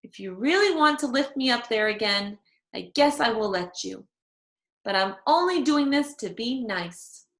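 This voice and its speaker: A woman voicing an excitable, dramatic little girl from a children's storybook, with a childlike, emphatic way of talking. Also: fairly high pitch, unhurried, roomy sound. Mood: grudging. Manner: dramatic, childlike, hollering when upset. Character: excitable, dramatic little girl narrating her own mishaps.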